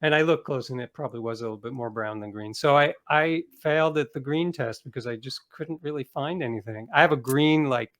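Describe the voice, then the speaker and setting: A man's voice: mid-range voice, fairly quick, hardly any pauses, fairly bright tone. A middle-aged man speaking as a guest author in a conversational interview, reflective and given to acting out bits of imagined dialogue.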